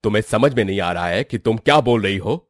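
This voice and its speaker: A man's voice: fairly low pitch, animated, fast talker, no echo, clean studio sound, close to an everyday voice. A man playing a scornful, indignant middle-aged rich businessman father in a cartoon moral story. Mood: outraged, scornful. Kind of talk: animated moral-story dramatisation.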